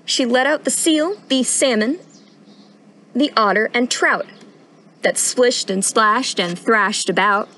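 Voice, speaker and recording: A young woman reading a picture book aloud at storytime in an expressive, sing-song rhyming delivery, recorded outdoors. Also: fairly high pitch, takes it slowly, a little hiss or hum. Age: young adult. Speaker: storytime reader, intern. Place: outdoors on a wooden porch.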